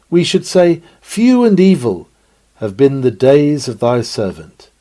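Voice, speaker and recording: Guy preaching an old victorian style sermon, soft dark mellow voice, earnest and dramatic, very formal wording. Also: medium pitch. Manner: oratorical, earnest, formal archaic phrasing. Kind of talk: dramatic read-aloud 19th-century sermon.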